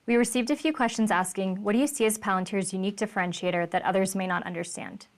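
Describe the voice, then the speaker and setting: A woman's voice: mid-range voice, fast talker. A woman moderating an earnings call, reading scripted transitions and questions.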